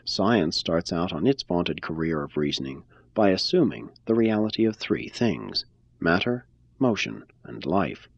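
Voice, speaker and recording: A man giving a measured, phrase-by-phrase scripted reading of a religious text. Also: sits low, expressive, slightly rough, no room sound. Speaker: reader.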